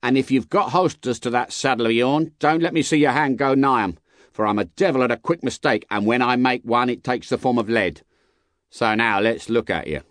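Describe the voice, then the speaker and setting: A man voicing a gruff, suspicious armed coach guard in an audiobook, with rustic speech and a threatening, blustering manner. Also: medium pitch, fairly quick, fairly bright tone, no echo, pitched above the performer's own voice, lightly acted. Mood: stern warning. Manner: rustic speech, barking challenges. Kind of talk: dramatised audiobook character.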